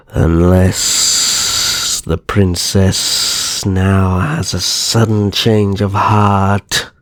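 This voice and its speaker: Man playing a slow, haughty vampire naga. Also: sits low, dry recording. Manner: measured, formal, disdainful, theatrical. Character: haughty, calculating male vampire naga brother, kidnapper. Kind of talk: ASMR roleplay acting.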